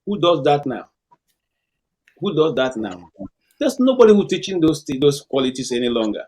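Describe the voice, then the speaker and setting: A man's voice: on the high side, big pitch swings, normal speed, a little mellow. A middle-aged Nigerian man telling stories emphatically and asking rhetorical questions in a conversational discussion.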